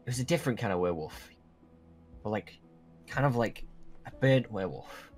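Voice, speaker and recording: Casting: male actor as earnest young male werewolf, deepish voice, halting dramatic delivery, game roleplay with music underneath. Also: expressive, darkish tone, lightly acted. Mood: tense recounting. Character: protective, earnest teenage male werewolf friend. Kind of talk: acted dialogue in a Minecraft roleplay drama. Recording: music behind the voice.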